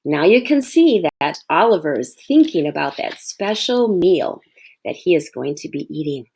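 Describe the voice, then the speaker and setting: A woman's voice: deep voice, big pitch swings, normal speed, creaky, darkish tone, slightly rough, low-quality recording. A woman schoolteacher reading a children's storybook aloud, expressively, and addressing her young listeners with explanations. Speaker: elementary school teacher.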